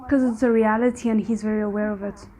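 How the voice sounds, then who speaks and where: A woman's voice: medium pitch, fairly even intonation, quick pace, mellow, a little hiss or hum. A woman giving casual spoken reaction commentary as a host, squeamish and dryly sarcastic. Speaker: host of a reaction video channel.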